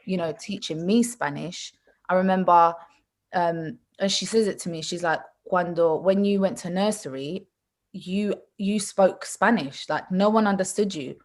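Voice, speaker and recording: Chatty mother in relaxed talk. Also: on the low side, unhurried. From England. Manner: animated, chatty, full of asides and restarts. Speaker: mother and podcast guest. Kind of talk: casual conversational chat.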